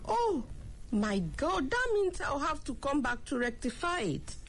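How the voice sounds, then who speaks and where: A woman's voice: high-pitched, sing-song, measured pace, fairly bright tone, exaggerated voice. A woman playing a trusting older lady. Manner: trusting, then wailing in dismay. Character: older Nigerian woman, trusting bank customer. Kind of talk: radio skit acting.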